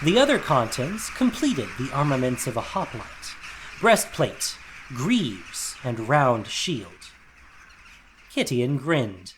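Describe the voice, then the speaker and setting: A man's voice: mid-range voice, big pitch swings, takes it slowly, background noise. A man narrating a fantasy audio drama as a scripted, dramatic storyteller.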